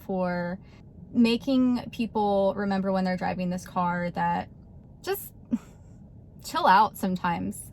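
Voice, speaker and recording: A chatty woman in her thirties talks straight to camera in an easygoing vlog. Her voice sits at a middle pitch and jumps up in animated bursts with frequent laughter. A faint hum of room noise runs under her. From Texas, USA. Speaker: vlogger. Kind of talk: casual talk to camera.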